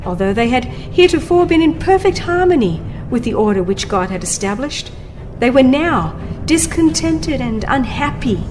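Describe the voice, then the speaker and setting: A woman narrating a religious book as a dramatized audiobook, with solemn, theatrical and deliberate phrasing. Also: fairly high pitch. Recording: music under the voice. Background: background music.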